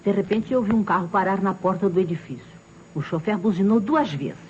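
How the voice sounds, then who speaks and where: Actress as a gossipy Brazilian neighbour, dull sound. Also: female voice, mid-range voice, a little fast, darkish tone, close to an everyday voice. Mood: matter-of-fact. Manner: chatty, insinuating. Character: gossipy, observant female neighbour giving witness testimony. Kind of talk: old Brazilian radio mystery play acting. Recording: dull, noisy vintage radio recording.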